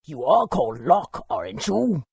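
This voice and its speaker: A bookish fantasy-game historian with a very husky, creaky voice set fairly high. He is courteous and scholarly, choosing his words with measured care while his melody moves up and down. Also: very animated, darkish tone, dry recording, clean studio sound, full character voice.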